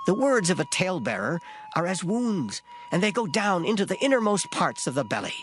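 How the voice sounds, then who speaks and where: A man giving a dramatized Bible reading in a solemn, measured, declamatory manner. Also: sits high, sing-song. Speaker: scripture reader. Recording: plucked guitar music behind voice. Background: plucked string music.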